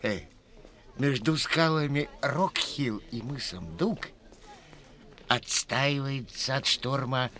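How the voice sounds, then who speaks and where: A man's voice: medium pitch, slow pace, background noise, natural voice. An actor playing a blunt, mocking old school watchman in a 1960s radio drama. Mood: matter-of-fact.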